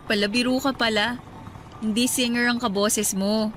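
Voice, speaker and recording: A woman playing a gentle, wistful grieving woman who talks softly and hesitantly, in a radio drama. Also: on the high side, fairly even intonation, takes it slowly, slight background noise.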